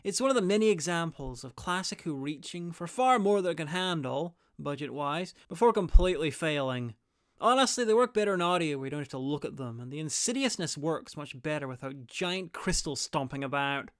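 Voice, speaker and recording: A man narrates a scripted review with wry, opinionated asides. His voice is fairly high, smooth and crisp, in a very dry recording. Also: lively intonation, fairly quick, no echo. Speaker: review host.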